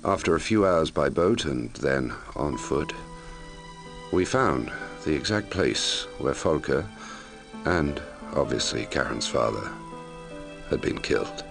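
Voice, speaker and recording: A man acting as an investigator who narrates his grim logbook in a measured, brooding way for a mystery game, in a gravelly voice. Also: expressive, exaggerated voice. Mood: grave, recounting. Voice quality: very deep, gravelly. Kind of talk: scripted first-person narration for a mystery game. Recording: music under the voice. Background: music.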